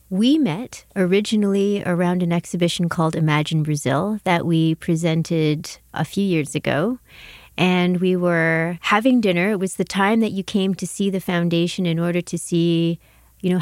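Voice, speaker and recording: A woman with a fairly low voice conducts an arts interview. She is articulate and earnest, and the recording is very dry with no room sound. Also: a little mellow, dry recording. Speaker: interviewer and exhibition curator. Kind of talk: scripted introduction and conversational interview.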